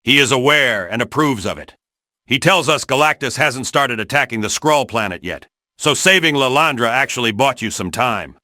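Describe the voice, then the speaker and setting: A man voicing a brisk, authoritative spy director giving a clipped mission briefing in a game. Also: medium pitch, animated, sharp tone, no room sound, studio quality. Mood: commanding.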